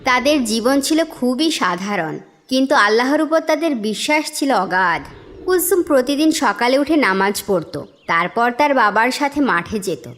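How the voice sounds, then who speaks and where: A woman's voice: fairly high pitch, animated, moderate pace, fairly bright tone. A woman narrator reading a scripted story for a cartoon in a storytelling style. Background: music.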